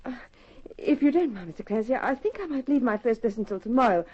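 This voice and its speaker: An actress playing an educated, poised, gently probing lady with genteel, precise phrasing in an old radio drama. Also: on the high side, animated, quick pace. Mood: wry, uneasy. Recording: muffled old radio transcription recording.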